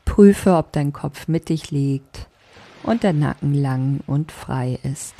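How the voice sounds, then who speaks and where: A woman leading a guided sleep meditation as a yoga nidra teacher, speaking softly in a calming, deliberate way. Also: deep voice, slight background noise. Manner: soft, calming, deliberate instruction.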